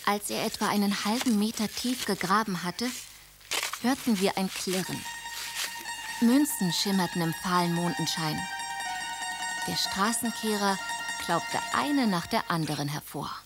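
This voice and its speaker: An actress narrating as a young princess in a radio play, telling the story in a lively way. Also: female voice, medium pitch, measured pace, close to an everyday voice. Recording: loud music underneath.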